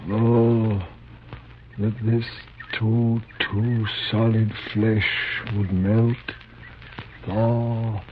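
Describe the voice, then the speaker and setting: Radio drama: florid stage tragedian, dazed, very slow halting declamation, thin old recording. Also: male voice, fairly low pitch, level tone, slight background noise. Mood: dazed, declaiming. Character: dazed, florid wandering Shakespearean actor, melancholy. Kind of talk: 1950s radio western acting. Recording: dull tinny vintage radio recording.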